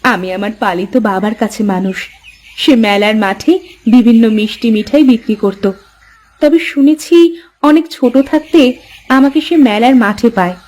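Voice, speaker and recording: A woman voicing a devoted, long-suffering young farmer's wife in a Bengali cartoon, expressive and plaintive, with a Bangladeshi accent. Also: medium pitch, normal speed, lightly acted. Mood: wistful. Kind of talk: Bengali cartoon fairy-tale dialogue. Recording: background music under the voice.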